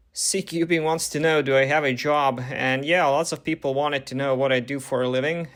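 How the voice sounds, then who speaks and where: A young man whose first language is Croatian, hosting a casual question-and-answer video and chatting in a relaxed way full of fillers. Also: medium pitch. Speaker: YouTube channel host. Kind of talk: casual talk to camera, Q&A.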